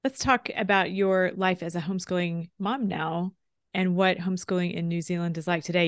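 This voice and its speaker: A woman hosting an interview, asking questions in a measured, deliberate way. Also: lowish voice, clean tone, no room sound, studio quality. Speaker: host.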